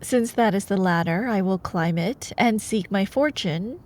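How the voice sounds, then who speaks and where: A woman's voice: medium pitch, unhurried, a little mellow, close to an everyday voice. A woman voicing a bold, smitten young prince in a storybook reading. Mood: resolute.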